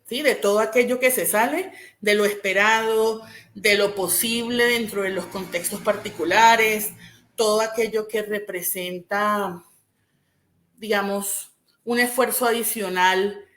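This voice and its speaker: A woman from Venezuela, a clinical psychologist, explaining her field in a measured way and often checking in with a rising "¿sí?". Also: mid-range voice, a bit bright, roomy sound. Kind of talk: explanatory interview answers.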